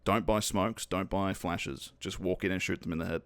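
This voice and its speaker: A man's voice: deep voice, steady tone, normal speed, dry recording. A young Australian man co-hosting a podcast, bantering with self-mocking jokes and laughs. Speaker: podcast co-host. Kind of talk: casual chat.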